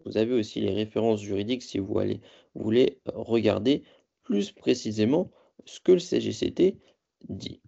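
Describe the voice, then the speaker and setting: A man presenting a training lecture from slides, explaining in a halting, semi-improvised way and often correcting himself. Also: lowish voice, creaky, mellow, muffled recording. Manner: halting, self-correcting delivery. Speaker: presenter of a training course on local government.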